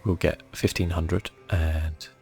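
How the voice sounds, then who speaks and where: A man speaking softly and casually, thinking aloud as he gives ASMR commentary on a video game. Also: deep voice, little pitch movement, slow pace, creaky, faint music behind. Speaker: gaming channel host.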